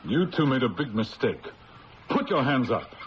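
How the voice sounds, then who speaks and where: A man's voice: medium pitch, very animated, moderate pace, highs cut off, lightly acted. A man playing a stammering, jittery crook. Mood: blustering. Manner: stammering, defensive. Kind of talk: 1970s radio drama acting. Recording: hissy old radio broadcast.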